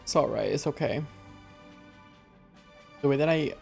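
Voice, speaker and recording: A young man livestreaming a game, reacting casually with dramatic, repetitive exclamations in a creaky voice. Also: fairly high pitch, a little slow, a little mellow. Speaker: game streamer. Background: game music.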